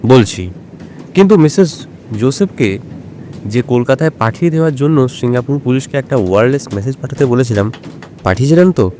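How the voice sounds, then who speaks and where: Mellow, self-assured detective, played by a man. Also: medium pitch, lively intonation, a little hiss or hum, lightly acted. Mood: assured, explanatory. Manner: measured, deliberate, explanatory. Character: shrewd, self-assured male detective explaining his deductions. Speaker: actor playing a detective. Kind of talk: Bengali audio drama dialogue.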